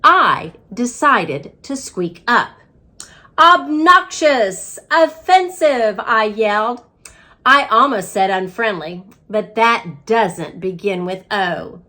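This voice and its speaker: A woman plays an earnest little male hamster telling his storybook tale. The voice is fairly high and swings expressively, with unhurried, exclamatory delivery full of self-importance. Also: lively intonation, sharp tone, heavily acted. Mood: earnest, indignant.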